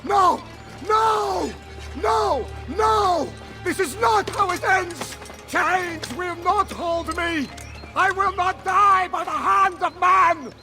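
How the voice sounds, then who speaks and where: An actor playing an arrogant, power-hungry Italian warlord villain in a video game, grandiose and menacing, with an Italian accent. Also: male voice, very high-pitched, slow pace, sharp tone, faint music behind, exaggerated voice. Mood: raging defiance. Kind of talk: video game cutscene acting.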